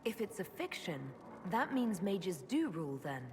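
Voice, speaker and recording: A woman's voice: medium pitch, sing-song, measured pace. An actress playing a frank, inquisitive adventurer woman in a video game, asking direct, probing questions with composure. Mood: curious. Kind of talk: video game dialogue acting. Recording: reverberant hall with ambient noise.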